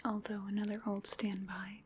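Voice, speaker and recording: A woman's voice: medium pitch, little pitch movement, noisy background, natural voice. A woman playing a playful, polite, hungry vampire in a whispered ASMR roleplay, coaxing and musing as she dotes on the listener. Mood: musing, indulgent. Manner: slow, coaxing, musing, with pet names.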